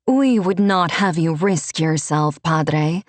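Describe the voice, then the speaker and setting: A woman's voice: sits low, slow pace, no echo. A woman voicing a cold, commanding noblewoman with a clipped, stern delivery.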